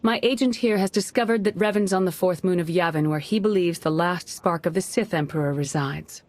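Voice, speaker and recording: An actress playing a dignified Jedi grand master in a video game, formal, measured and diplomatic, with calm authority. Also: female voice, fairly low pitch, close to an everyday voice. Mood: formal, resolute. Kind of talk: dramatic video game acting.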